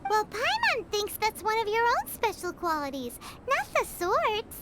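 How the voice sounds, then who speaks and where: A woman's voice: wildly swooping pitch, measured pace, noisy background, exaggerated voice. A woman voicing a tiny, cheeky female fairy companion in a video game, teasing and blunt. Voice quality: high, squeaky, childlike. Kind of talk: video game cutscene dialogue. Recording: game audio with loud music behind.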